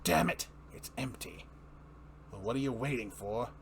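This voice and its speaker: A man's voice: on the low side, crowd noise, close to an everyday voice. A man performing a manic, mocking clown supervillain in a theatrical comic-book dramatic reading, prone to giggles. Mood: bitter, goading. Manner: theatrical, drawn-out, swooping, breaking into giggles.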